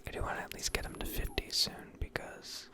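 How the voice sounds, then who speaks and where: A woman speaking in a breathy whisper, giving rambling, unscripted and self-deprecating ASMR commentary over gameplay. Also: brisk. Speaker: gaming video creator.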